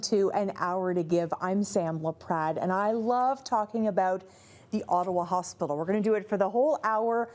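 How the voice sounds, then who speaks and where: A woman's voice: mid-range voice, normal speed, darkish tone. A middle-aged woman hosting a TV interview show in a studio, talking in a bubbly, effusive way. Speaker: TV host.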